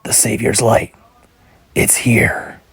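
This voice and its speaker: A man playing a sinister, hushed alien villain in an audio drama, with a raspy, creaky, drawn-out voice. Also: no room sound, whispered, low-quality recording. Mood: ominous.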